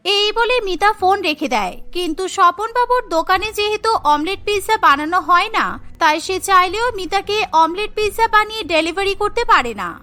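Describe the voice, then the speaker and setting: Female narrator for scripted cartoon tales; extremely high, forceful, bright voice over background music. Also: fairly quick.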